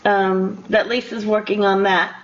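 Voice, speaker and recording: An older woman interviewing a guest casually as a radio host, in a halting, rambling, encouraging way. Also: medium pitch, little pitch movement. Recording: dull lo-fi radio interview audio.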